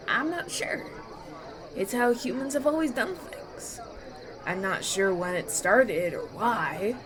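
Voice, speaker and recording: A man's voice: extremely high pitch, full character voice. A man playing a polite, nervous young human farm boy in a fantasy audio roleplay, earnest and hesitant. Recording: banquet crowd chatter behind.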